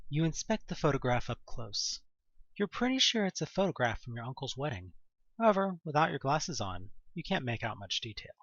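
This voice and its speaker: A man reading a scripted second-person story in a theatrical, expressive narrator's manner. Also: medium pitch, slightly rough, no echo, muffled recording.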